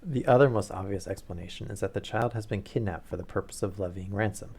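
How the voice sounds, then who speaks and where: A man voicing a calm, polite male detective who speaks in a bland, measured way. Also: on the low side. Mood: bland, probing.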